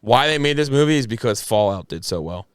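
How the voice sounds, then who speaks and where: Male podcast co-host, smooth clear voice, dry sound, casual chat. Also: medium pitch, expressive, brisk, dry recording.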